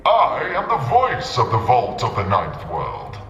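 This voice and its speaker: A man playing an animated stone bust that serves as a courteous, officious warehouse clerk in a fantasy audio drama. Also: fairly low pitch, unhurried, a little hiss or hum, heavily acted. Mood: officious, welcoming. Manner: formal, officious, customer-service politeness. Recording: long echoing reverb, cavernous hall.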